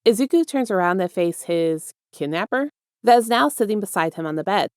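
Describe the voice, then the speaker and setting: A woman's voice: medium pitch, expressive, brisk, a little mellow, clear, resonant tone, no room sound, studio quality. A woman reading scripted fiction aloud as the narrator of an audio story. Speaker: podfic narrator.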